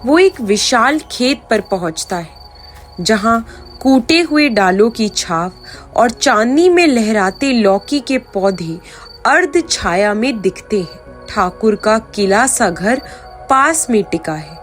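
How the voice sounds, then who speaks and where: A woman narrating an animated folk story in a scripted storytelling style. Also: a little high, takes it slowly, soft background music.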